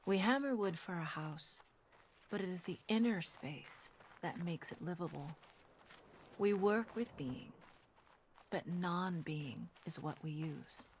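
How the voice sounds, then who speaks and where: A woman narrating as a calm reader of ancient wisdom, speaking deliberately in a scripted game audio log. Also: fairly low pitch, slight background noise. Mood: calm, reflective. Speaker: narrator of recorded quotation in a game.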